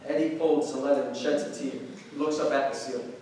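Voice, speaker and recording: A man's voice: mid-range voice, steady tone, quick pace, a little mellow, slight background noise. A man reading stage directions as scripted narration at a staged play reading. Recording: very echoey room, distant mic.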